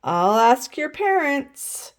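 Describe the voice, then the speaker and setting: A woman's voice: high-pitched, very animated, takes it slowly, pitched above the performer's own voice, full character voice. A woman voicing an upbeat, encouraging female kindergarten teacher in a storybook, with an exclaiming, sing-song manner.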